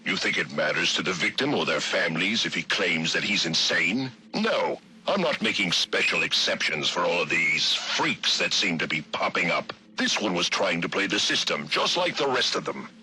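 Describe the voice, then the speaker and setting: A man's voice: low voice, sing-song, moderate pace, bright, forceful tone, full character voice, poor audio. A man voicing a pompous, merciless, corrupt judge in a video game audio log, theatrical and self-satisfied as he pontificates. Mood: indignant.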